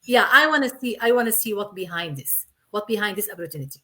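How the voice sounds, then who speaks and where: A woman's voice: medium pitch, lively intonation, quick pace, sharp tone. A woman from Syria in her thirties, a guest in a casual interview, talking earnestly in simple, repetitive English. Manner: earnest, repeats herself, simple non-native English.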